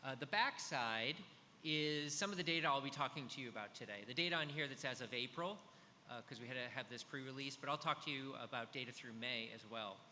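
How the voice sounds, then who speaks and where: Loud bright-voiced man in his fifties. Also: medium pitch, fairly quick. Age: 50s. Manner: loud, chatty, many fillers and restarts. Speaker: conference speaker. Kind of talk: business presentation. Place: large conference hall. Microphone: handheld or stand microphone. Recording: hall reverb with faint noise.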